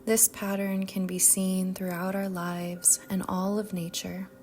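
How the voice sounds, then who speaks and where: A woman guiding a meditation in a soft, whispery-edged voice, speaking gently and soothingly with long pauses. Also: medium pitch, completely flat delivery, a little hiss or hum. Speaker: yoga nidra meditation guide. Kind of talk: guided meditation narration. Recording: soft music bed behind voice. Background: music.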